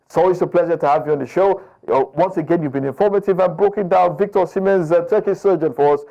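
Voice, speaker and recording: A male sports talk host speaks quickly, with a mellow, somewhat high voice. He briskly sums up and affirms his guest's points, and the sound is dull and muffled. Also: creaky.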